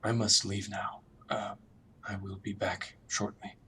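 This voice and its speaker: A conscientious, squeamish doctor acted by a man in a radio drama, with a lowish male voice and slow, halting delivery. He speaks formally and questions himself as he goes, in a roomy space. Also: flat delivery, bright, forceful tone, distant mic, a little hiss or hum. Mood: uneasy, clinical. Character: uneasy, conscientious doctor on a psychiatric ward, squeamish. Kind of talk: radio drama acting, internal monologue.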